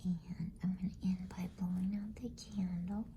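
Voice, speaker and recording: A woman reading a bedtime story in a soft, breathy ASMR whisper, gentle, soothing and unhurried. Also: lowish voice, level tone, slight background noise.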